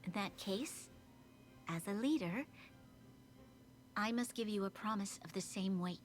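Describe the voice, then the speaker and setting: A woman voicing a dignified, earnest young female leader in a video game, speaking in a formal, measured, solemn way. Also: mid-range voice, faint music behind, natural voice. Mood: solemn, resolute.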